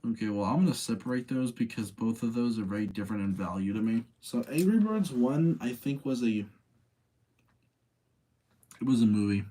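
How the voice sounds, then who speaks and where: A man's voice: mid-range voice, animated, moderate pace, mellow, rough recording. A tired man hosting a casual live rating session, chatting with his audience, rambling and thinking aloud as he gives his opinions. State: tired late at night.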